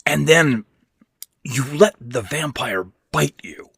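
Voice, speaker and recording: Man voicing a menacing, husky old man, forceful and growling. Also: medium pitch, animated, slow pace, dry recording, studio quality, pitched above the performer's own voice, exaggerated voice. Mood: grimly insistent. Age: older. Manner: hissing, growling, emphatic, commanding. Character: exhausted, sick old man in wheelchair, menacing, irritable tyrant. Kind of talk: dramatic horror fiction reading.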